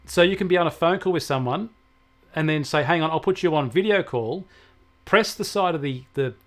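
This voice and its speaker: A man's voice: on the high side, a little fast, fairly bright tone. An Australian man explaining technology in a conversational way, using everyday examples. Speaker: technology expert guest answering questions.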